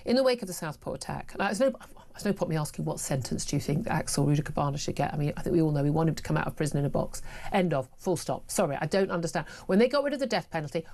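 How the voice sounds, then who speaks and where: A woman's voice: lowish voice, darkish tone. An opinionated woman hosts a talk radio debate. She speaks emphatically and sounds indignant, and she stumbles through restarts as she builds her case. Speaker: radio talk show host.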